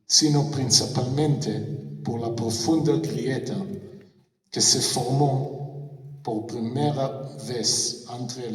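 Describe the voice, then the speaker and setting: A man who speaks first delivers a memorial address in careful, halting Spanish, going very slowly and restarting on hard words. He is heard from a distance in a large concert hall with a long, roomy echo. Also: medium pitch, fairly bright tone. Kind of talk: formal speech read in non-native Spanish.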